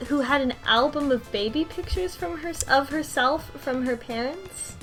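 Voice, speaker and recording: A woman on a podcast panel casually discussing a game's story, halting and restating as she reasons aloud. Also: high-pitched, fairly bright tone. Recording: music under the voice. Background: music.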